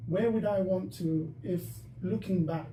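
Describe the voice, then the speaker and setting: A man's voice: sits high, unhurried, mellow. A young man hosting a podcast, talking through his thoughts with many restarts. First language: not Dutch. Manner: talks in bursts with many restarts. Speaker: podcast host. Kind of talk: casual discussion. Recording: echoey room, mic some distance off, faint noise.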